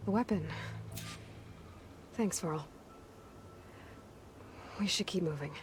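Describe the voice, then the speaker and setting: A woman's voice: fairly low pitch, takes it slowly, noisy background. An actress playing a determined, guarded young woman hunter in a video game, speaking in an earnest, matter-of-fact way. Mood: focused. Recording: game audio under loud music. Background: loud music and game sounds.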